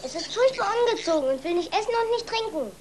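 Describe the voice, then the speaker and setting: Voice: very high-pitched, animated, fast, background noise. A performer playing an earnest, insistent little farm boy in a children's radio play. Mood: stubborn, earnest. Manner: pleading, insistent.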